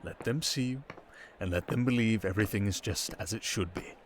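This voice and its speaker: Guy doing a smooth supervillain for asmr rp, kinda low voice, teasing n seductive, slow dramatic pauses, very theatrical. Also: a little hiss or hum, natural voice. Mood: admiring, tender. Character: suave, cunning male criminal mastermind villain, seductive and teasing. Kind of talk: ASMR roleplay, intimate theatrical monologue.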